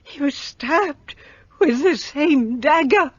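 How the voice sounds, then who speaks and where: An actress playing a frightened, superstitious servant in a radio play, speaking in an agitated, dramatic way. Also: female voice, sits high, lively intonation, slow, highs cut off, background noise.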